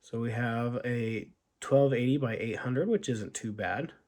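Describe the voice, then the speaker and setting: A man talking through an old laptop's setup, his voice hoarse from illness. He thinks aloud with halting pauses and fillers, in a casual vlog manner. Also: mid-range voice, a little mellow. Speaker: tech video host. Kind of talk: casual unboxing walkthrough.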